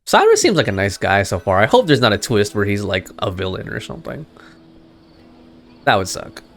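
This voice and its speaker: A woman's voice: very deep voice, very animated, moderate pace, faint music behind. A woman giving casual, slangy video game playthrough commentary, joking and reacting as she goes. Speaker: game streamer.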